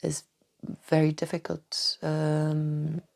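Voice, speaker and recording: A woman doctor from Tanzania answering interview questions thoughtfully, with many hesitant restarts and soft trailing phrases. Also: low voice, completely flat delivery, slow, vocal fry. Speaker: doctor and acupuncturist, podcast guest. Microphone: podcast microphone.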